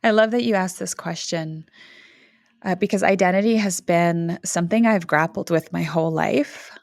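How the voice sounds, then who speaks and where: A woman's voice: lowish voice, normal speed, creaky, no room sound, studio quality. A woman in her thirties, originally from Canada, speaking as a podcast guest in a reflective, thoughtful way with frequent fillers and light laughs. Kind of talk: conversational interview.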